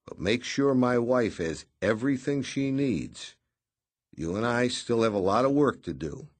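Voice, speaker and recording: A man voicing a tough, curt man giving blunt orders over the phone with quiet threats. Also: medium pitch, expressive, unhurried, pitched above the performer's own voice. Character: hard-bitten male stalker, curt and tough.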